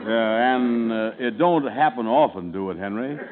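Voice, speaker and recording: An actor playing a slow, easygoing sidekick in a 1940s radio comedy, with a lazy drawl. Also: male voice, mid-range voice, a crowd in the background, lightly acted. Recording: tinny old radio broadcast recording.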